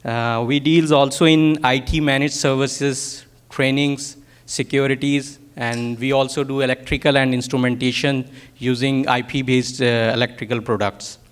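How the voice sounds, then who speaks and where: A man with a Pakistani accent and Urdu as his first language presents technical material to a workshop. His voice is clean and smooth, though his delivery is halting as he searches for words. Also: medium pitch, level tone. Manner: halting, hesitant, searching for words in non-native English.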